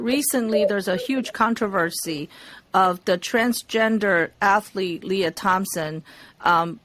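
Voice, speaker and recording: A woman interviewing a candidate, asking measured questions with frequent fillers. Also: medium pitch, a bit bright.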